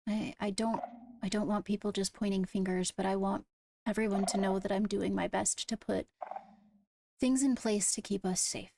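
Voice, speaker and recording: A woman playing a caring, earnest ruler in an improvised Minecraft roleplay, speaking in a measured, hesitant, reassuring way. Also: mid-range voice, steady tone, vocal fry, mellow, no room sound, clean studio sound. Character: caring, earnest female ruler holding court. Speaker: player leading a roleplay court.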